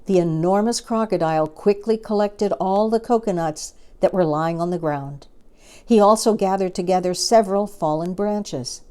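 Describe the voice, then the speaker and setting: A woman's voice: medium pitch, moderate pace, dark tone, smooth. A woman reading a children's story aloud as a storyteller, expressively and with dramatic emphasis.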